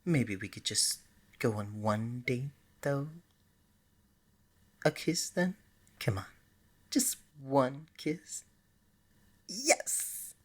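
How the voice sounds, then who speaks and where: Casting: woman as a lovestruck deity of romance for ASMR roleplay, very low and breathy, flirty, coaxing, scatterbrained. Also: animated, very slow, pauses often, full character voice. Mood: pleading, flirty. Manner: playful, coaxing, bubbly and hesitant by turns.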